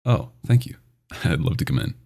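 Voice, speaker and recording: A man playing a dominant, possessive vampire doctor in an ASMR roleplay, intimate and teasing with a smooth menace. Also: big pitch swings, moderate pace, heavy background noise, heavily acted. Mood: polite, coy. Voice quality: very deep, gravelly.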